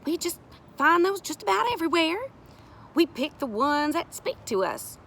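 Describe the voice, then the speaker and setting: A patient, folksy countrywoman from a storybook, as a woman narrator acts her. She sounds reassuring and plain-spoken, with a high, lilting voice and some creak over faint noise. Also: big pitch swings, moderate pace, fairly bright tone, exaggerated voice. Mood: patient explaining.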